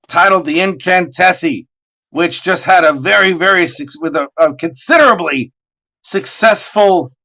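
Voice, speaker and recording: A man with a hoarse voice, chatting casually and joking as the host of a livestream while he draws, excitable and swearing freely. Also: sits high, low-quality recording. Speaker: artist hosting a livestream. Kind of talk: casual chat while drawing.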